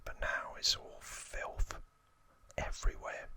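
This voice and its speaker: A man's voice: takes it slowly, sharp tone. A man voicing a boastful, threatening London gangster twin in a put-on Cockney accent, whispering his slangy lines from a game manual. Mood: disgusted, nostalgic. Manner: threatening, slangy, self-important.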